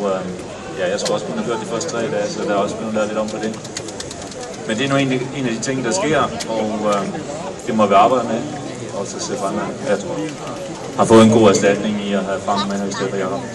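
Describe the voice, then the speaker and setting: A Danish man, a professional cyclist, giving a live interview over a distant reverberant PA with a loud crowd. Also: lowish voice, steady tone. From Denmark.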